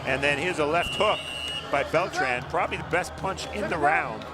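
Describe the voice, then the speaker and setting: Guy doing boxing color commentary, bit high voice, loud crowd behind. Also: moderate pace. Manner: analytical, steady explaining. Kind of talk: live sports commentary. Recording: broadcast over loud crowd noise. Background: loud arena crowd.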